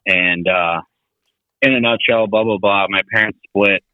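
A man's voice: lowish voice, steady tone, a little slow, no room sound. A young man from Finland chatting casually as a podcast guest, rambling and laid-back with many fillers. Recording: tinny phone-like audio.

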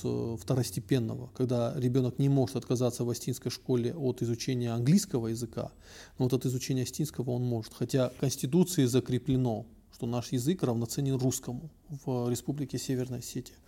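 A man from North Ossetia speaks as a guest on a podcast, with a gravelly, mellow voice. He talks in a reflective way and often hesitates with drawn-out fillers while he searches for words. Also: mid-range voice, little pitch movement. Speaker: podcast guest, blogger and activist. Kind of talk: casual discussion.

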